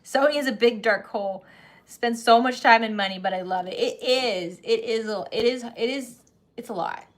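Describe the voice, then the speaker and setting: Woman, 30s, sewing channel host, casual chat, slightly high voice, laughs a lot. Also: moderate pace. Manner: chatty, laughs often, many restarts.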